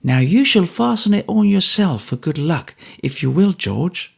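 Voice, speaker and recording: A man putting on a brisk, motherly soldier's wife. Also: high voice, big pitch swings, creaky, breathy edge, pitched above the performer's own voice, exaggerated voice. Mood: fond. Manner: quick, motherly, fond exclamations. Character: older woman, warm, brisk soldier's wife.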